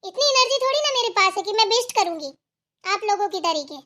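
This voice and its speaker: Woman playing a very high-pitched bratty young daughter-in-law. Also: lively intonation, fast, bright, forceful tone, full character voice. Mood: bossy, entitled. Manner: argumentative, whiny, entitled. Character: spoiled, defiant young city daughter-in-law, demanding and entitled. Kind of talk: cartoon family drama dialogue.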